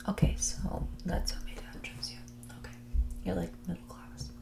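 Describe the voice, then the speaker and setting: Casting: female performer as snobbish, mean rich young date for ASMR roleplay; half-whispered, creaky, bored and condescending drawl. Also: fairly low pitch, little pitch movement, normal speed. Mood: dismissive. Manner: condescending, drawling, bored, valley-girl filler "like".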